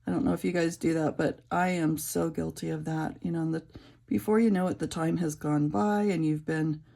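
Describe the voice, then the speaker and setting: A middle-aged woman with a raspy voice, chatting casually and rambling with many fillers while she colors. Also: deep voice, steady tone, fairly quick, dark tone. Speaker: coloring channel creator.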